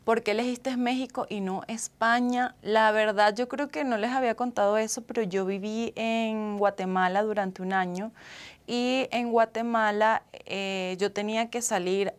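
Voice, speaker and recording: A woman's voice: fairly high pitch, fairly even intonation, measured pace, clean tone. A young woman with a Venezuelan accent chats casually and expressively as she hosts a live stream answering viewer comments. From Caracas, Venezuela. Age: young adult. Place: small indoor room with couch and acoustic foam.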